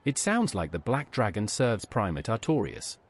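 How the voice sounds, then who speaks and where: A man's voice: lowish voice, huge pitch swings, moderate pace. A male voice playing an inquisitive, loyal assassin hero in scripted fantasy game dialogue. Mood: earnest.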